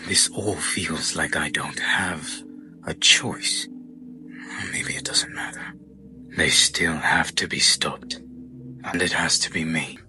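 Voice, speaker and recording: A man voices a weary gothic hunter, burdened by grief yet resolute. His voice is very low and often drops toward a hushed near-whisper, with halting, heavy phrasing. Music plays behind him in audio drama fashion. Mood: grim resolve. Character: weary, grief-stricken male hunter, reluctant but resolute.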